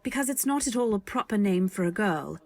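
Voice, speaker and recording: A woman's voice: medium pitch, lively intonation, brisk. A woman playing a modest, earnest young companion, narrating and speaking politely and wistfully in a radio drama. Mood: candid. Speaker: narrator and heroine. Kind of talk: first-person narration in old-time radio drama.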